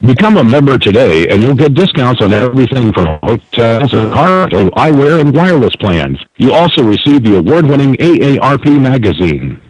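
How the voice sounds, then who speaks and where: A man's voice: mid-range voice, big pitch swings, moderate pace, hardly any pauses, over music. A man reading scripted menu prompts and hold messages as an automated announcer over a telephone line. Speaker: automated phone system announcer.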